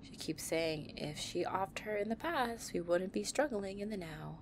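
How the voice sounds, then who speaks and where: A woman's voice: medium pitch, expressive, normal speed, slight background noise, lightly acted. A woman playing a tough but tender, anxious delinquent girlfriend in an intimate roleplay audio, murmuring breathily. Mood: worried, exasperated.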